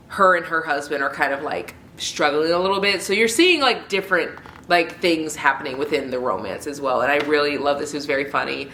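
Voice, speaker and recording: A woman's voice: deep voice, pushed and bright, a little hiss or hum. A woman with a stuffy, congested nose, chatting casually and enthusiastically as she reviews books she has read. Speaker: book vlogger. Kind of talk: casual book review chat. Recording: roomy echo.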